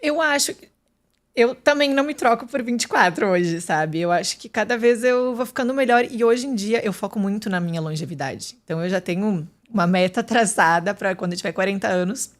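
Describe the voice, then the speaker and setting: A woman's voice: medium pitch, brisk. Candid chatty woman in her thirties. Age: 30s. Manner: candid, chatty, with many fillers and restarts. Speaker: podcast guest. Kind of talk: casual interview chat.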